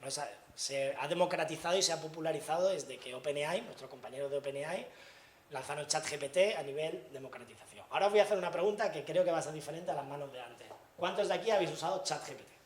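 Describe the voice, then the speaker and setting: Andalusian spanish guy, fairly high voice, chatty talk to a room of students, echoey hall, faint hum behind. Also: fast talker. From Granada, Spain. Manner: chatty, asks the audience questions, frequent tag questions. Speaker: invited speaker. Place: university lecture hall.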